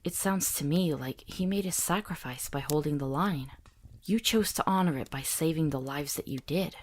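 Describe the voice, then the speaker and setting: A woman playing a kind, tactful traveling companion in a visual novel, gentle and earnest. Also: sits low, normal speed. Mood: sympathetic.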